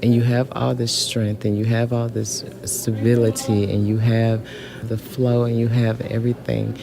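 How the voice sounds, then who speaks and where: A woman's voice: a bass voice, little pitch movement, normal speed, creaky. A middle-aged woman speaking informally and from the heart, repeating phrases for emphasis, recorded on location with other voices around. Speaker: incarcerated artist. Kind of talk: heartfelt informal interview answer. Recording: location recording with crowd chatter behind. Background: background voices.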